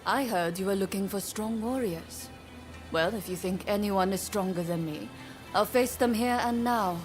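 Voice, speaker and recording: An actress playing a bold, confident young swordfighter in a game cutscene, polite yet challenging. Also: female voice, mid-range voice, normal speed, over music, close to an everyday voice. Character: bold, confident female swordfighter eager to prove herself. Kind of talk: scripted game cutscene acting.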